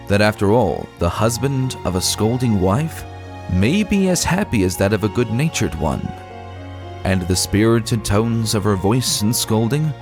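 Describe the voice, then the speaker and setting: A man reading a classic short story as a narrator, in a measured, old-fashioned literary delivery. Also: medium pitch. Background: background music.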